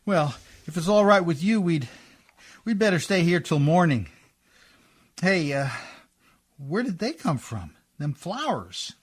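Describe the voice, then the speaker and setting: A man's voice: a little high, big pitch swings, a little slow, pauses often, husky, exaggerated voice. An actor in a radio play playing a humble, plucky riverboat man with folksy, rustic diction, deferential and plain-spoken. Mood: earnest, folksy.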